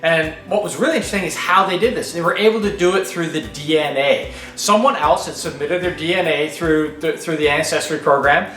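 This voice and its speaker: A middle-aged man from Canada, co-hosting a travel vlog in relaxed casual chat, thinking aloud. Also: a little high, fairly quick, few pauses. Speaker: travel vlogger co-host.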